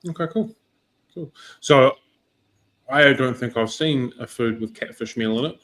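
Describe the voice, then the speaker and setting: A male livestream host with a croaky morning voice, asking easygoing, hedging questions. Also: mid-range voice, measured pace, a bit bright. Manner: easygoing, says cool a lot, hedging questions. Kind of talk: casual interview questions.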